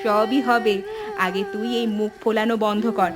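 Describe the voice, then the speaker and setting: A woman playing a warm, remorseful grown-up elder sister in an animated family drama. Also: medium pitch, normal speed, noisy background, close to an everyday voice. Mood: reassuring.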